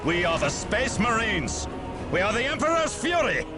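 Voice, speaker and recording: An actor playing a proud, zealous Space Marine commander in a video game, giving solemn, grandiose battle oratory with dramatic pauses. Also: male voice, high-pitched, sing-song, over music. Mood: rousing, resolute. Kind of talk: video game cutscene acting.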